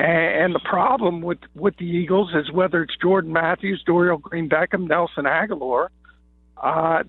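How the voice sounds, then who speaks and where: A slightly hoarse man, an NFL columnist calling in, gives confident, opinionated analysis with many "uh" fillers. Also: on the high side, dry recording. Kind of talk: sports radio analysis by phone. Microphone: phone. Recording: tinny dry phone line.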